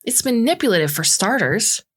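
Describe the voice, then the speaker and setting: A woman's voice: mid-range voice, lively intonation, a little slow, husky. A woman voicing a hurt, angry young woman in a lovers' quarrel, emphatic and accusing, in dramatized story dialogue. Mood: agitated, accusing.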